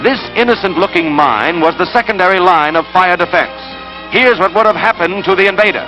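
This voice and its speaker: High-pitched American 1940s newsreel man. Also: fairly quick, poor audio. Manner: dramatic, emphatic delivery. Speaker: newsreel narrator. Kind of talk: 1940s newsreel narration, scripted. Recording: old 1940s newsreel soundtrack, loud music. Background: loud orchestral newsreel score.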